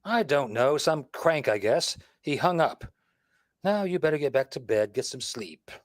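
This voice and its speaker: A man's voice: a little high, lively intonation, measured pace. A man playing a dry, wisecracking, reluctant detective husband in a 1930s-style radio drama, wry and teasing. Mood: irritated.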